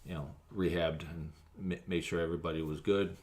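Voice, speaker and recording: A man speaking as a fire officer in an interview, recounting an incident in a measured, matter-of-fact way. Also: low-pitched, steady tone, a little mellow.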